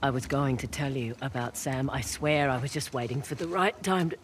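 A woman's voice: extremely low pitch, a little fast. An actress playing a wry, guarded woman treasure hunter in an action-adventure game, with a casual, blunt way of talking. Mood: defensive. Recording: rain and ambient noise behind. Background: rain and game ambience.

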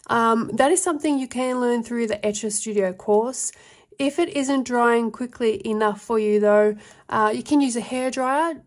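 A woman giving a casual flip-through review of an art workbook, reading passages aloud and adding her own notes with relaxed fillers. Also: a little high.

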